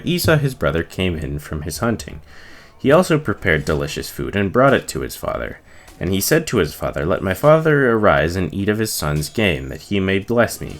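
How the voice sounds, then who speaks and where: A man reading the Bible aloud from the text, giving the dialogue expressive emphasis in his own voice. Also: low-pitched. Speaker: reader.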